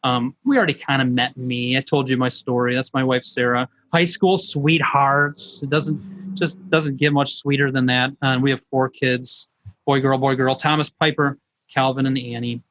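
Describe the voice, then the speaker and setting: A man's voice: mid-range voice, steady tone, normal speed, a bit bright, low-quality recording. A middle-aged man, a business coach, presenting online in an informal, folksy, conversational way.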